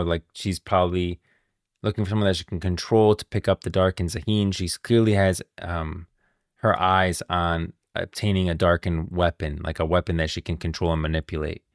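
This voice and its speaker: Male streamer, low gravelly voice, dry close sound, casual, questioning game commentary. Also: fairly even intonation, normal speed, no room sound. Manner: musing aloud, questioning.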